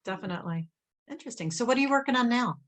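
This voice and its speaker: A woman's voice: fairly high pitch, expressive, rapid-fire, fairly bright tone. A woman hosting a podcast interview, chatting casually with frequent short acknowledgments. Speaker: podcast host.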